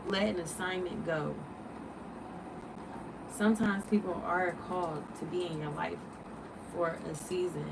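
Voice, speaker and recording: A woman's voice: fairly low pitch, steady tone, a little slow, creaky, long pauses, distant mic, noisy background. A woman in her late thirties hosting a livestream, talking casually and animatedly, preaching and firing off rhetorical questions. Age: 30s. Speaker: livestream host.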